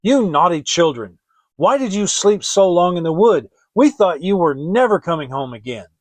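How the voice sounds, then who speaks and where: A coldly bossy storybook stepmother, acted out by a man, scolding and reproachful. The voice sits fairly high and snaps out orders impatiently in a dry, close recording. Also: sing-song, moderate pace, no room sound.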